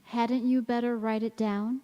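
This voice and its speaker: Actress doing odd dreamy young woman in a radio play, talks real slow, big echoey hall. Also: on the high side, level tone, dark tone, muffled recording, natural voice. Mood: coolly enigmatic. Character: strange, wistful, self-possessed young woman. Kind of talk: stage radio drama acting.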